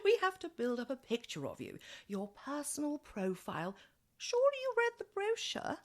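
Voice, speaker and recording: A middle-aged British dating agency boss, acted by a woman in a radio comedy. Her voice sits fairly high and swings very widely. She is theatrically polite, gushing and put-upon. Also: huge pitch swings, normal speed, full character voice. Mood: breezy salesmanship. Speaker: actor in a radio play. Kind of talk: radio comedy play acting.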